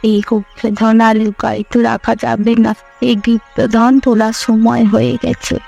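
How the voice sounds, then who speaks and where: Knowing old granny with a magic touch played by a woman, creaky voice, solemn fairy tale delivery over music. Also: a little high, level tone, normal speed, warm tone, highs cut off. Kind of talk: cartoon fairy tale voice acting.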